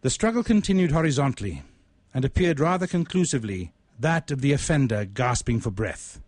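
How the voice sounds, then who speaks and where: A man reading his own literary short story aloud in a deliberate, measured, ornate manner. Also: mid-range voice, sing-song, a little mellow, no echo.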